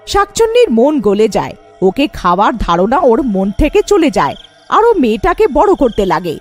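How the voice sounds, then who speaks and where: High-pitched animated woman storyteller. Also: moderate pace, faint music behind. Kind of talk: scripted horror fairy-tale narration.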